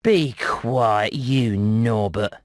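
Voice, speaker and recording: A man's voice: mid-range voice, drawn-out words, no echo, clean studio sound. A man voicing a smug, slick rat who deals and haggles in a cartoon video game. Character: wheeler-dealer male rat trader, smug and sly.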